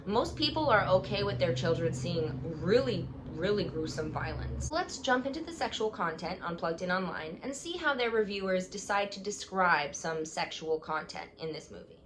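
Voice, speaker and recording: A young woman vlogging in a casual, sarcastic way, reading out a review and mocking it with quick asides. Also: on the low side, animated, noisy background.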